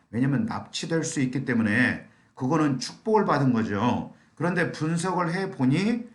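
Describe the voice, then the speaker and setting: Middle-aged male consultant, tired husky throat, animated intonation, explaining to a seminar in an echoey room. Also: medium pitch. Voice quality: slightly hoarse, thick voice. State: strained throat from long talking. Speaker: admissions consultant giving a lecture.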